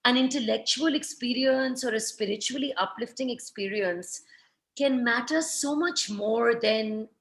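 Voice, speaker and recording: Author guest: woman from Pakistan, thoughtful, measured, slightly high-pitched. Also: a bit bright. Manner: thoughtful, reflective, with many "you know" fillers. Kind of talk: conversational interview.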